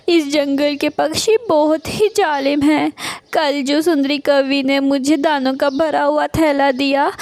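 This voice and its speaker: A woman's voice: sits high, dry recording. A woman voicing a poor, wronged female bird in a cartoon, plaintive and sorrowful. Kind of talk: Hindi cartoon character acting.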